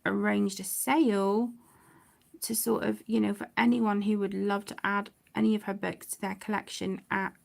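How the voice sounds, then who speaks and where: A middle-aged woman chatting casually on a livestream, affectionate and apologetic, with phrases that trail off softly. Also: mid-range voice, level tone, moderate pace, vocal fry. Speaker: livestream host of an adult colouring channel. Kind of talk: casual livestream chat while colouring.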